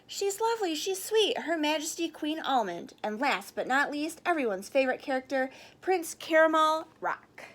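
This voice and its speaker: A woman's voice: high voice, very animated, moderate pace, hardly any pauses, fairly bright tone, pitched above the performer's own voice. A woman voicing a showy, theatrical kitchen director who announces a contest emphatically in a storybook. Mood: grand announcing.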